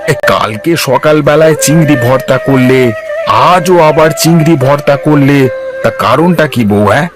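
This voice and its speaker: A man's voice: a little high, moderate pace, loud music behind the voice, lightly acted. A man voicing a lazy, grumbling village husband in a cartoon moral tale, with a whiny, hesitant drawl. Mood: complaining.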